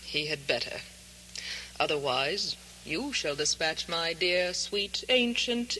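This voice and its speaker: A woman's voice: low voice, sharp tone, low-quality recording. An actress playing an imperious, bloodthirsty noblewoman in a radio drama, speaking with icy, commanding, precise theatrical diction. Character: imperious, bloodthirsty lady plotting to be queen. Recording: old radio broadcast, faint hum.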